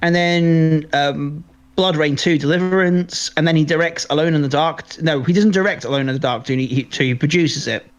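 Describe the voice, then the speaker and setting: Emphatic man with a higher-pitched voice. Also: normal speed, dull audio. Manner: opinionated, emphatic, repeats phrases. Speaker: podcast co-host. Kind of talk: casual film review discussion.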